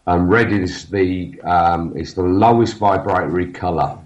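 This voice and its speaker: Deep-voiced man with a head cold. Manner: halting, many fillers and restarts, loses his train of thought. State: has a cold. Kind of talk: casual podcast conversation. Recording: dull lo-fi sound.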